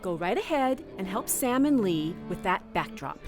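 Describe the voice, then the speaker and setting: A woman's voice: medium pitch, very animated, normal speed, soft background music, close to an everyday voice. Lady doing a ditzy sweet drama teacher, theatrical, voice goes up and down loads. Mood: distracted directing. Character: scatterbrained, sugary high school drama teacher woman. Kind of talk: scripted audio drama acting.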